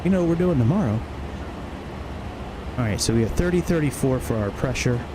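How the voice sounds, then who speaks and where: A man's voice: medium pitch, animated, fairly quick. A man streaming a flight simulator, talking casually and half to himself, with some swearing. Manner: muttering to himself, profane. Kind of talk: casual livestream commentary while flying a simulator. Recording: clear background noise, vehicle-like hum.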